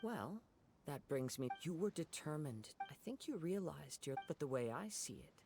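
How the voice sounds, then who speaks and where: An actor playing a calm, perceptive young wandering swordsman in a video game, speaking in an earnest, measured way. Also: a little high, vocal fry. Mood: probing, calm. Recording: loud music under the voice. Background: loud game music.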